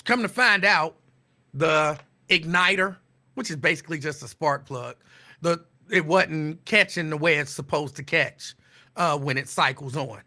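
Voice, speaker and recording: Bright-voiced man chatting casually. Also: a little high. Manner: relaxed, rambling, drawn-out repeats. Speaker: show host. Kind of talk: casual live-stream chat.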